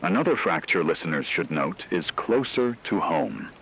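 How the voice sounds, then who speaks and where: A man playing a grave radio anchor, delivering a scripted emergency broadcast in a measured, formal manner. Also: low-pitched, sing-song, a bit bright, slight background noise, exaggerated voice.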